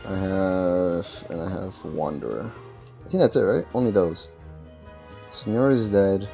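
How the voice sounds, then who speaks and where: A man with a low, mellow voice hosts a casual game playthrough. He thinks out loud in a halting way, with faint music behind him. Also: creaky. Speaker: gaming video host.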